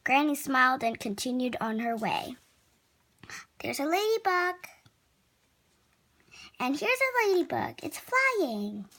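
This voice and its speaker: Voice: sits high, sing-song, slow, long pauses, pushed and bright. A reader narrating a picture book aloud expressively, with playful asides. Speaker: children's story reader.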